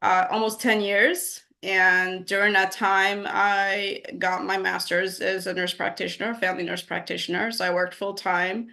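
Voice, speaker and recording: Woman, 40s, from Guyana, interview guest, bright tone, relaxed storytelling. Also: medium pitch, moderate pace, creaky, hardly any pauses. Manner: storytelling, warm laughs, many fillers. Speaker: guest nurse practitioner. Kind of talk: interview conversation.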